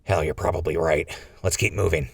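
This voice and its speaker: An actor playing a glib, wry male fugitive with a gravelly voice in a post-apocalyptic audio drama. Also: sits low, steady tone, fairly quick, no room sound, studio quality, recorded from a distance, exaggerated voice. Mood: wry, assured. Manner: wry, bluffing. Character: Crispy, glib scheming male wasteland fugitive.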